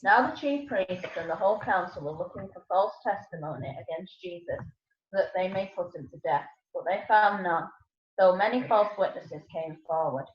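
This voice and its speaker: A woman's voice: on the low side, animated, moderate pace. A woman narrating a dramatised scripture reading as a scripted narrator. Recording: echoey room, dull sound, slight noise.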